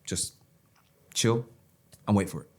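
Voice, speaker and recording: A man's voice: on the low side, slow pace. A man in casual podcast chat who talks hesitantly and keeps restarting his sentences.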